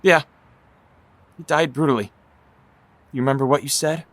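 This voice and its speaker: A man's voice: a little high, steady tone, normal speed, noisy background, close to an everyday voice. A man playing an earnest, defiant young superhero vigilante who justifies himself, in an audio drama. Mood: earnest, defensive.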